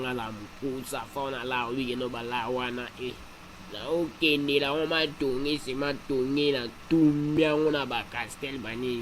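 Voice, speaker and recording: A man's voice: mid-range voice, noisy background, lightly acted. A man with a Congolese accent playing a rambling cartoon character who repeats slangy interjections. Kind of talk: animated cartoon dialogue in Lingala and French.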